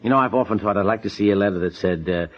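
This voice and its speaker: A man's voice: lowish voice, very fast, natural voice. A man playing a wry, grumbling husband in a 1950s radio comedy, sardonic and exasperated in manner. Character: grumbling, wry husband, reluctant uncle of a nephew. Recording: dull vintage 1950s radio recording.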